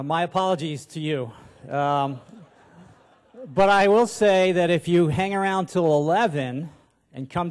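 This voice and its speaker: Older pastor with a higher-pitched voice. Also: male voice, darkish tone. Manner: self-deprecating, folksy, hesitant. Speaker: pastor and church elder. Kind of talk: informal sermon. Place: large church auditorium, on stage at a pulpit. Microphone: handheld microphone.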